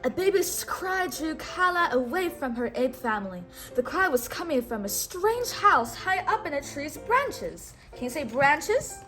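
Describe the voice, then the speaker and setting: A woman with a high-pitched voice reads a children's story aloud at an unhurried pace. Her delivery is expressive and instructional, with words stressed for a young listener to repeat. Faint music plays behind her. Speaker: storybook read-aloud host. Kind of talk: children's read-aloud narration with call-and-response prompts.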